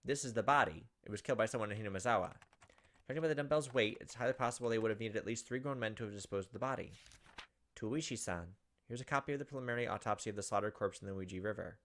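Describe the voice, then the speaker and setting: A man's voice: on the low side, racing, a bit bright. A young man reading game text aloud and commenting casually as a let's play host. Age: young adult. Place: indoors at a computer, webcam.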